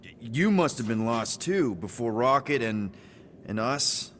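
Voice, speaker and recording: An actor playing an easygoing, friendly spaceship crew leader in a video game, speaking in casual, rambling slang. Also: male voice, medium pitch, animated, measured pace, a bit bright, slight background noise. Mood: sincere, friendly.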